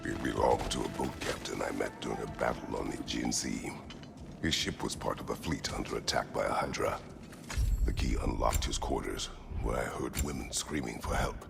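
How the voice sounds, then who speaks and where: A brooding, guilt-burdened warrior with an extremely low, rumbling voice full of heavy gravel. He speaks tersely and gravely, with formal wording, in video game cutscene style over prominent music. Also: normal speed, exaggerated voice. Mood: grave, haunted. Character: stern, brooding battle-worn warrior man burdened by guilt.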